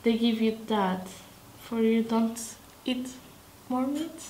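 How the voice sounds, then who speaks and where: Woman, raised pitch, halting delivery, echoey recording. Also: dark tone. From Portugal. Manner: halting, non-native phrasing. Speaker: student presenter. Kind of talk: casual presenting to camera.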